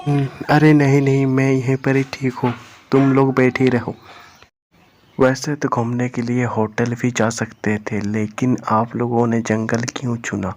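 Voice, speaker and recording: A man's voice: medium pitch, level tone, a little slow, darkish tone, a little hiss or hum, close to an everyday voice. A man voicing a humble, eager young servant in a cartoon story, speaking respectfully and earnestly. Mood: polite, earnest. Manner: deferential, apologetic, earnest.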